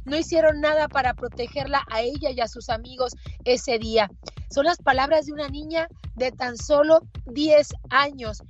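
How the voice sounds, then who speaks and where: High, husky Mexican woman host. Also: lo-fi sound. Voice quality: husky, hoarse-edged voice. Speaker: show host. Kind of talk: conversational radio-style talk.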